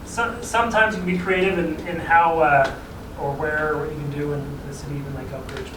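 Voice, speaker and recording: A man's voice: fairly high pitch, steady tone, a little fast. A man from a regional planning agency, briefing a city council in an easygoing, explanatory way. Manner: explanatory, easygoing, chuckles at own asides. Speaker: regional transportation planning staff presenter. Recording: echoey chamber, distant mic, clear background noise.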